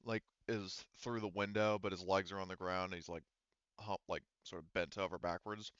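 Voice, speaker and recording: A man with a lowish, forceful and clear voice runs a tabletop game. He explains the scene casually, hesitating as he searches for words, on a close but slightly dull recording. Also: fairly even intonation, moderate pace, no room sound. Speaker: game master.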